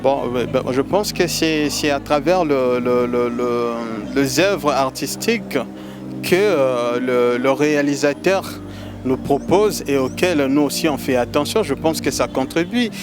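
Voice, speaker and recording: A man's voice: medium pitch, a little slow, over music. A Congolese man from DR Congo, a festival organizer answering interview questions in a hesitant way, with many filler sounds and repeated words. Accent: Congolese French.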